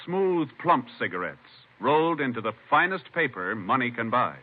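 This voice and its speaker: A man's voice: medium pitch, sing-song, normal speed. A man making formal, emphatic scripted announcements on 1950s radio. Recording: tinny old radio, faint noise.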